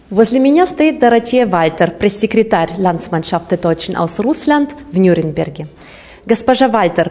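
Mellow-voiced woman news reporter. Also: mid-range voice. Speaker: video news presenter and interviewer. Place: indoor art gallery. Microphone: handheld reporter microphone.

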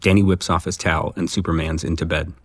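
Male narrator, very low register, deadpan reading of scene directions. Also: quick pace, background noise. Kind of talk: scripted narration of stage directions.

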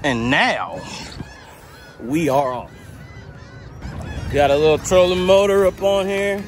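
A man's voice: on the high side, takes it slowly, slight background noise. Laid-back man vlogging while he fishes. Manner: laid-back, slangy, talks to camera while working. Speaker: fishing vlogger. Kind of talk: casual outdoor vlog narration. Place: outdoors at a boat ramp and on open water.

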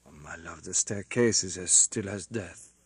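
A man reading an audiobook, voicing a calm, tender older doctor who speaks softly and soothingly. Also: deep voice, a little slow, breathy edge, no echo, voice pushed down, heavily acted.

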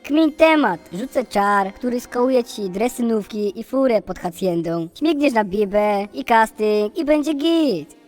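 Comic radio play fairy godparent, female actor: breezy, cool, slangy, higher-pitched. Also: expressive, a little slow, a little mellow, faint music behind. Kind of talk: comic audio drama acting.

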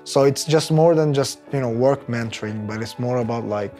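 A man's voice: mid-range voice, moderate pace, mellow. A man in his thirties from Turkey with a Turkish accent, talking conversationally in an interview as an employee. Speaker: employee interviewee, technical project manager. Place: bright office room indoors, by large windows. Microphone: lapel mic. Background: background music.

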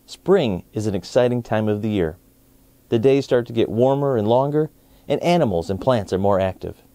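An American man in his forties reading a storybook aloud to children, with clear enunciation and expressive phrasing. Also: medium pitch, normal speed, dry recording. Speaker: children's story time host.

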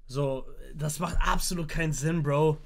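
A young man reacting live as a streamer, casual and slangy, blunt and quick to get excited. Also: a little high, creaky, slight background noise. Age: young adult. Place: indoor streaming room.

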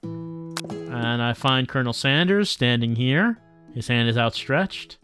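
Guy doing a let's play, reads dialogue out loud w/ dry joking comments, medium voice, music behind him. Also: medium pitch, animated, slow. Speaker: streamer playing a visual novel. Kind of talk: livestream let's play, reading game text aloud with casual commentary. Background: game music.

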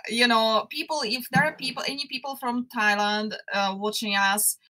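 A young woman co-hosting a casual music reaction chat on a video call, speaking thoughtfully and pausing to find her words. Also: a little high, steady tone, pushed and bright, low-quality recording. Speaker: co-host. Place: small room at home, video call. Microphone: headset.

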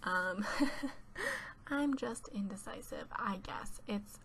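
A woman's voice: medium pitch, very animated, slow, fairly bright tone, whispered. Chatty teenage girl with a stuffy cold. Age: teens. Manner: chatty, rambling, giggly. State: congested from a cold. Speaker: YouTuber. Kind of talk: casual voice-over chat. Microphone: home voice-over mic.

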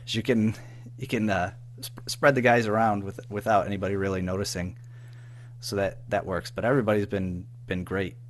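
A man with a fairly deep voice chats as an interview guest. He speaks in a halting way, repeating words and letting phrases trail off. A faint hum sits under the recording. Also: steady tone. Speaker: audio drama writer-producer, interview guest. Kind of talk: casual interview chat. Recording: faint background noise and hum.

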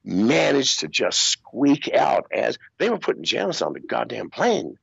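An older man telling stories in an interview over a video call, swearing freely and talking in an animated way. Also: mid-range voice, measured pace, gravelly, slightly rough, no echo, highs cut off. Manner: profane, animated storytelling with laughs. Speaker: interview guest. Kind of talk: casual interview storytelling. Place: small room with acoustic foam walls. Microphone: video call wearing over-ear headphones.

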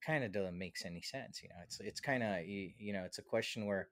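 A man's voice: fairly low pitch, brisk, fairly bright tone, dry recording. A man in his thirties chatting casually on a podcast in a rambling, slangy way, close to a studio mic. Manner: rambling, slangy, peppered with "dude". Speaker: podcast host. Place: small home studio. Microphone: close studio mic on boom arm.

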